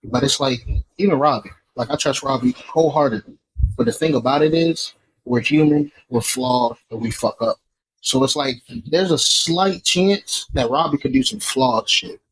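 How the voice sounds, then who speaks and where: A man's voice: medium pitch, normal speed, fairly bright tone, poor audio. A man from Florida talking candidly on a podcast, reflective and casual, with some cursing. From Florida, USA. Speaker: podcast guest.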